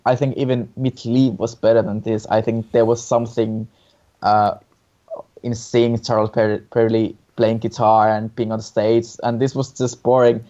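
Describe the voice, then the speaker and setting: A man's voice: medium pitch, warm tone. A man co-hosting a casual review chat, giving opinions hesitantly and often repeating his words. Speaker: co-host reviewing song contest entries. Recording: dull lo-fi sound.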